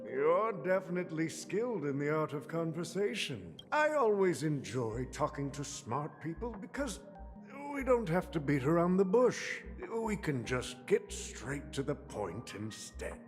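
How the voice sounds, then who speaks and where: An old, scheming tycoon in a game story, jovial and grandiose. The pitch sits a little high and swings widely, and every courteous phrase is drawn out slowly. The voice rings in a long reverb over music. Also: male voice, big pitch swings. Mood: courteous, sly. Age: older. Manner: theatrical, chuckling, verbose businesslike courtesy. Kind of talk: video game story dialogue acting.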